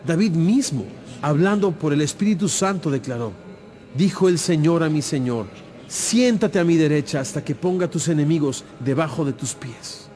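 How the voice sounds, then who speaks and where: A man's voice: fairly high pitch, moderate pace, mellow, lightly acted. A man acting an authoritative, composed holy teacher in a dramatized audio Bible, speaking solemnly and emphatically. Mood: teaching, emphatic. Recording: music playing behind.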